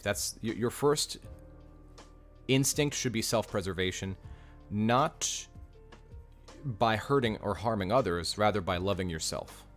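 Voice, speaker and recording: Young male coach, deep low voice, blunt and repetitive, casual live-stream advice, faint music under it. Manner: blunt, direct advice, repeats key points.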